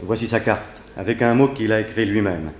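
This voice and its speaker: An actor playing a smooth-talking, menacing blackmailer with an urbane, insistent manner, in a 1960s French radio drama. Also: male voice, fairly low pitch, moderate pace, slight background noise, lightly acted. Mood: polite, composed. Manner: urbane, insistent, coolly mocking.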